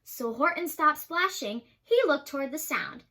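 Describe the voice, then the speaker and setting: Young woman, children's storybook reader; high pitch, rhythmic and lively. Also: normal speed. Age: young adult. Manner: rhythmic rhyming delivery.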